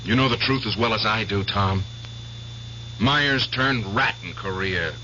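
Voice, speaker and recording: An actor in a radio drama playing a haunted ex-prisoner of war bent on revenge, insistent and emphatic. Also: male voice, fairly low pitch, a little slow, sharp tone. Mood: grimly determined. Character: haunted, vengeful ex-prisoner of war, obsessed with killing. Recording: dull, hissy, humming old radio broadcast.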